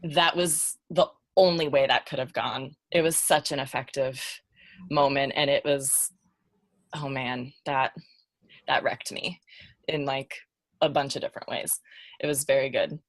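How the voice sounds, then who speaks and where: Woman on a podcast panel, low voice, dry sound, kinda thinking out loud. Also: steady tone, measured pace, no echo. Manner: informal, thinking aloud, searching for words. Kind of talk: casual unscripted discussion.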